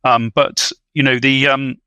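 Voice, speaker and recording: Bright-voiced man, halting and scholarly. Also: mid-range voice, little pitch movement, clean tone, no echo. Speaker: guest film commentator. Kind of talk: thoughtful film discussion.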